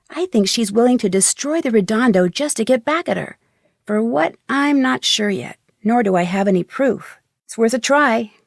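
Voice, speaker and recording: An actress playing an inquisitive young female detective in a video game, speaking in a measured, earnest way. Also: on the high side, no room sound, clean studio sound. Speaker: game voice actor. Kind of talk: scripted video game dialogue.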